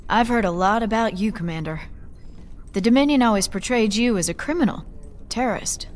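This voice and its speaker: A woman's voice: medium pitch, brisk, a little hiss or hum, close to an everyday voice. Earnest, articulate woman doctor played by an actress. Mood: earnest, concerned. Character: earnest, frank young woman doctor and scientist. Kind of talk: video game cutscene dialogue.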